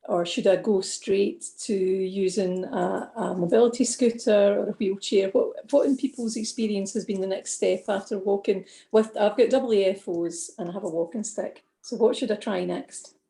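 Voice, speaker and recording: A woman's voice: mid-range voice, fairly even intonation, moderate pace, vocal fry, few pauses, mellow, reverberant. A woman on a video call who asks the panel questions in an earnest, hesitant way. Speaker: patient asking a question.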